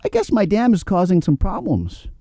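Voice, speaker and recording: A chummy, eager beaver out of a storybook, acted by a man. The voice is pitched high with big melodic swings and a very mellow tone. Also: huge pitch swings, moderate pace, creaky, no room sound, full character voice. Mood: worried. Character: friendly, eager male beaver dam-builder. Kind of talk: children's storybook character voice.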